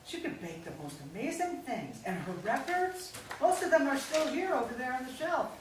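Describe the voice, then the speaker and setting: Fussy, lively-pitched mother, played by a woman. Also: moderate pace. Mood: wistful. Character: fussy, nervously cheerful family mother and hostess. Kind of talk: live staged play reading. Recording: very echoey room, distant mic, hiss.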